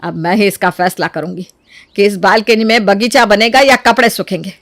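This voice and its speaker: A woman's voice: mid-range voice, moderate pace, fairly bright tone, dry recording, natural voice. A woman voicing an exasperated older mother-in-law who scolds wearily and with authority in a cartoon family story. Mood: stern. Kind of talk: animated family story dialogue.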